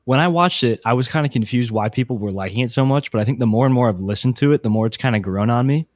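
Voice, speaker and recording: A man's voice: mid-range voice, fast talker, no echo, studio quality. A man co-hosting a podcast, casually talking through competitors in a contest. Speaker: podcast co-host.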